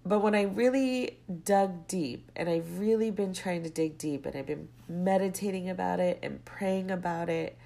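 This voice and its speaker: A young woman with a low-pitched, congested voice hosts her own podcast. She talks casually and rambles, drifting into side stories before circling back to her point. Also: creaky, darkish tone. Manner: rambling, goes off on tangents. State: stuffy, congested with a cold. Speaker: podcast host. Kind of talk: casual solo podcast monologue.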